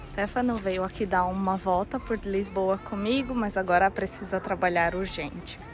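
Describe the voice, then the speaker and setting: A woman's voice: mid-range voice, normal speed, over music. A young woman with a Brazilian accent chatting to viewers as she walks through city streets for a travel vlog. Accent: Brazilian Portuguese. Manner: chatty, addresses viewers directly as "gente". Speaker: vlogger. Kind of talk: casual travel vlog narration.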